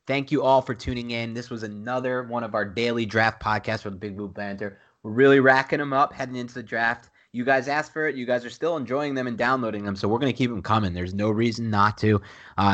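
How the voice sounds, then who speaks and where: A man's voice: medium pitch, a bit bright. A man with a nasal voice talking casually and emphatically as co-host of a sports podcast, repeating himself for emphasis.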